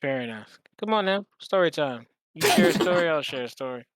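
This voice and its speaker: A man hosting a podcast in casual conversation, speaking informally and a little haltingly. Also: fairly high pitch, expressive, fairly bright tone, a little hiss or hum. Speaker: podcast host.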